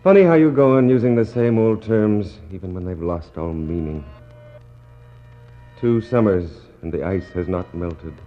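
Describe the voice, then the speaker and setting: A muffled old radio recording with music behind a stranded Victorian naval officer. The man's voice is low, measured and wry, weary and fatalistic as if reading out a log. The acting is 1960s radio drama. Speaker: actor.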